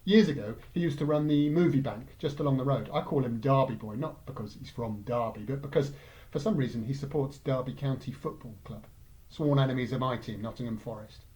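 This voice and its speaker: A man's voice: mid-range voice, fairly quick, darkish tone, rough recording. A man with an English accent reading a light-hearted first-person story as narrator. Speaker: story reader.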